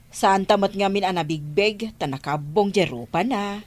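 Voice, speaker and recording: A woman's voice: mid-range voice, moderate pace, close to an everyday voice. An actress playing an outspoken, indignant village councilwoman in a radio drama, with an Ilocano Filipino accent. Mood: complaining.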